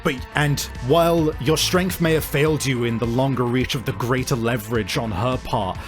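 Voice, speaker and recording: A man acting as game master, narrating and explaining rules in a halting, explanatory way, repeating words for emphasis. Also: mid-range voice, faint music behind. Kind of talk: improvised tabletop game narration and rules talk.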